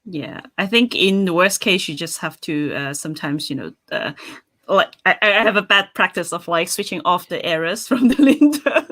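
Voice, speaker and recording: A bright, crisp female voice, recorded close and dry on a home mic during a video call. She moderates a talk's Q&A casually, filling pauses with "uh" and "um" and laughing easily. English is not her first language. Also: fairly low pitch, lively intonation, no echo. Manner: chatty, giggly, many fillers. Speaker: session chair.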